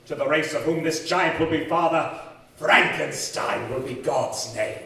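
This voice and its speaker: An actor playing a fanatical, grandiose young scientist in an old-time radio horror drama, declaiming with feverish excitement. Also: male voice, on the high side, a little slow, creaky, exaggerated voice. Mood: grandiose, raving. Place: live stage show in a community hall. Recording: echoey community hall, far from mic.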